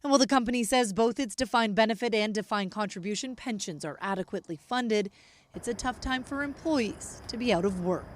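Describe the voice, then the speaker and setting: A woman voices a news report. Her pitch is on the high side. The scripted read is recorded dry and close. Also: a little fast, dry recording.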